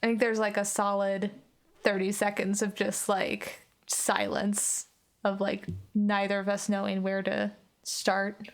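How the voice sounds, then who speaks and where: A woman's voice: medium pitch, monotone, measured pace. A woman on an actual play podcast, narrating improvised roleplay in a casual, hesitant way with lots of "like".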